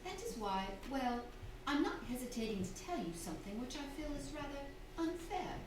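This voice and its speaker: An actress playing an educated, strong-willed young wife, genteel and emphatic, in a staged radio drama. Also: female voice, high voice, lively intonation, moderate pace, distant mic. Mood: indignant, confiding. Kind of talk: staged old-fashioned radio drama acting. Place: theatre hall, distant microphone. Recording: echoey, noisy stage recording from afar.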